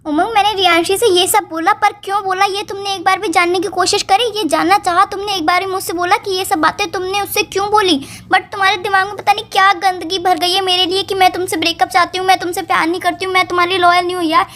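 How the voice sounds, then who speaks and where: A woman's voice: very high voice, little pitch movement, quick pace, few pauses, a bit bright. A woman playing an exasperated, insistent young girlfriend who pleads and defends herself in a casual romantic call drama. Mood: hurt, defensive. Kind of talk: acted romantic phone-call drama in casual colloquial speech.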